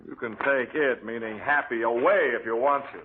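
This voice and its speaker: An actor playing a glib, quick-tempered young American suitor in a 1940s radio drama, on an old recording. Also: male voice, mid-range voice, phone-quality sound, background noise, close to an everyday voice. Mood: affable.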